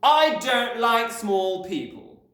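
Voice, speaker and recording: A man's voice: very high-pitched, animated, takes it slowly, pitched above the performer's own voice, exaggerated voice. A bullying giant of a headmistress, done by a man reading aloud, all bark and sneer. Her voice sits well above his usual and swings widely as she bellows. A roomy echo hangs around it. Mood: haughty. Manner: barking, sneering, loud. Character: bullying giant woman headmistress, sarcastic and thundering.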